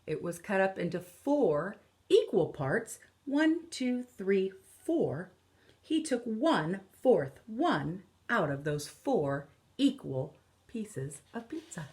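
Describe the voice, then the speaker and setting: Very slow-paced woman reading to children. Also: medium pitch, big pitch swings, a little mellow. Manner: deliberate, explanatory, counting out and repeating key phrases. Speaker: elementary school teacher. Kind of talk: picture-book read-aloud lesson for children. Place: living or dining room at home, indoors.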